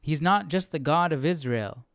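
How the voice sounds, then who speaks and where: A man with a smooth, clear voice comments casually on a reading, recorded close and dry. He speaks informally and haltingly, working out his thoughts aloud as a Bible study host. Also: fairly high pitch, a bit bright, dry recording. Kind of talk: casual reflective commentary while following a reading.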